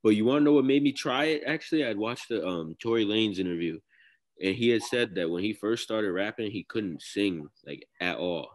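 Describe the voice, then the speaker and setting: A young man from Connecticut with a deep baritone voice, talking casually as a guest rapper in an interview, with loose, slangy speech full of "like" fillers. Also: animated, a little fast. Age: young adult.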